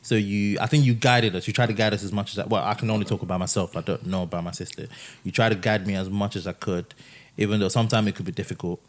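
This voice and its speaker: A young man hosting a casual family podcast, teasing and laughing easily, often trailing off quietly. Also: on the low side, steady tone, fast talker. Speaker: podcast host.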